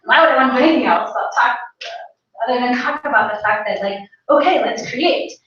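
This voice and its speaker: A woman speaking on a panel in an animated, playful and emphatic way, often posing rhetorical questions. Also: fairly high pitch, a little fast. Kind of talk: animated personal talk at a panel event. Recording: echoey room, distant mic, dull sound.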